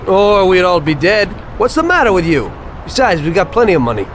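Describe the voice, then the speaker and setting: An actor playing a cautious, skeptical American mobster in a video game, blunt and sardonic. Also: male voice, sits high, sing-song, fairly quick, slight background noise. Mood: wary. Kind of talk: video game cutscene acting.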